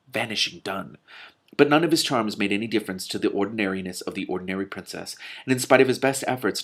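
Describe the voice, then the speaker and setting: Man reading a storybook aloud expressively. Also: fairly low pitch. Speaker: host reading a book aloud with commentary.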